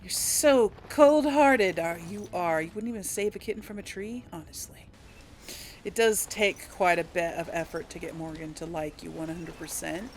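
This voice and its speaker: A woman's voice: on the low side, moderate pace, slight background noise. A woman hosting a casual role-play let's play, chatting and reacting aloud as she plays. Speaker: gaming host, role player. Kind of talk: casual let's play commentary with in-character dialogue. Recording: game music behind the voice.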